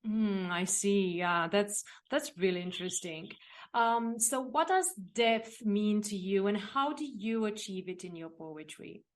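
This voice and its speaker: A woman's voice: mid-range voice, lively intonation, measured pace. A woman from Romania, a non-native English speaker, hosting an interview and asking questions with many fillers and restarts. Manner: hesitant, with frequent fillers and repeated words. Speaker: podcast interviewer.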